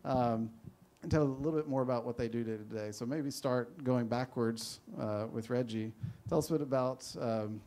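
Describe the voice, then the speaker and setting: A man's voice: mid-range voice, moderate pace, few pauses, dark tone. A man moderating a panel, introducing the speakers in a rambling, self-deprecating way. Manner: rambling, self-deprecating, many fillers and restarts.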